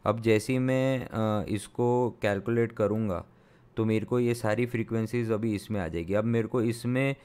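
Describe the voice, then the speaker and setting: Smooth low-voiced man explaining software. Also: steady tone, normal speed. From India. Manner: thinking aloud with many fillers and restarts; explanatory, step-by-step. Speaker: sound engineer teaching software. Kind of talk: screen-recorded software tutorial.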